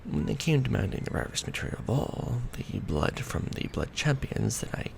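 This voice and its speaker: A man playing a centuries-old vampire lord in a romantic ASMR roleplay, proud and doting, speaking formally with theatrical, measured phrasing. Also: medium pitch, big pitch swings, gravelly, background noise. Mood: storytelling, grave.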